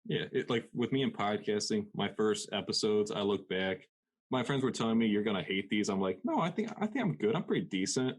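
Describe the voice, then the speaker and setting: A man's voice: on the low side, animated, a little fast. A male podcast host chatting casually into a studio mic, laughing often and saying "like" a lot. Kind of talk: casual interview chat. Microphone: dynamic studio microphone.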